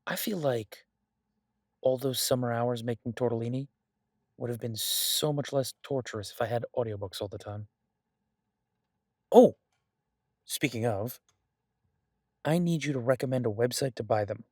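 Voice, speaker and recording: Guy acting as a restless mob boss chatting to his sweetheart, mid pitch, really dry sound, playfully dramatic, audio rp. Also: moderate pace, long pauses, a little mellow, no echo, close to an everyday voice. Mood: casual, sheepish. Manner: conversational, exasperated, swearing, playfully dramatic. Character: male mafia boss, doting, restless, stuck baking in a safe house. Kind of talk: audio roleplay, one side of an intimate phone conversation.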